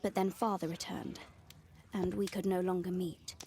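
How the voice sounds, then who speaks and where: A woman's voice: lowish voice, flat delivery, normal speed, vocal fry, mellow, slight background noise. An actress playing an earnest young Victorian lady in a video game, with a British accent and polite, formal speech. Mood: earnest, confiding. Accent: British English. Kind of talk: video game dialogue acting.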